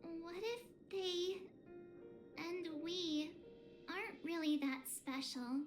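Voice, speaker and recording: An earnest young girl adventurer in a video game. Her voice is very high and girlish, reflective and wistful. Clear music plays behind her. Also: slow. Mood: earnest, troubled. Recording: game audio under loud music.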